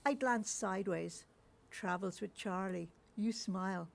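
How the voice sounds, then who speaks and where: A woman's voice: medium pitch, measured pace, creaky. A woman reading literary verse aloud.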